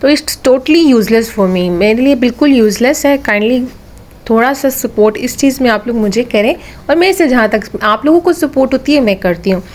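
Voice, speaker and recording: Female vlogger, Pakistani accent, fairly high voice touched by a sore throat; chatty, casual delivery with English phrases dropped in. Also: expressive, moderate pace, darkish tone. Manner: chatty, repeats phrases for emphasis. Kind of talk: casual vlog commentary with English phrases mixed in.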